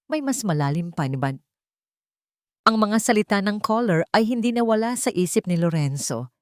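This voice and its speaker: A woman's voice: medium pitch, animated, normal speed, dry recording, studio quality. A Filipino woman reading a scripted dramatic story as narrator.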